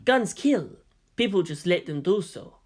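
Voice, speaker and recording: A man's voice: high voice, lively intonation, unhurried. Man playing a sceptical, uneasy soldier in his forties. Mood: troubled.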